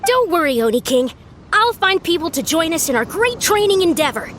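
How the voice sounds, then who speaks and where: An earnest young warrior in a video game with a high voice. The speech is pompous and formal, sweeping up and down theatrically, with slight noise behind it. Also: female voice, sing-song, fairly quick, fairly bright tone, full character voice. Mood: earnest, grand. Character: earnest, grandiose young warrior devoted to beetle battling. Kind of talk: animated video game voice acting.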